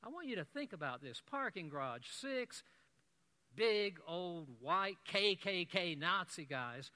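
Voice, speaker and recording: A man, preaching a sermon as an animated storyteller with wry asides. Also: high-pitched, measured pace.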